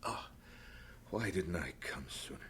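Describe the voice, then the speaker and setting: Expressive, earnest missionary husband. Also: male voice, sits low, measured pace, breathy edge, exaggerated voice. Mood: sorrowful, regretful. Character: earnest missionary husband, devoted and plain-spoken. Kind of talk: old-time radio drama acting.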